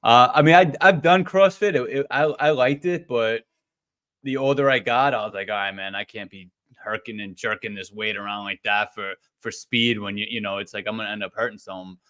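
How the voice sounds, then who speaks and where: A middle-aged man hosts a casual podcast interview with a low but bright, forceful voice. He talks in a relaxed, rambling way. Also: brisk, smooth. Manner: relaxed, rambling, frequent fillers and restarts. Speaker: podcast host.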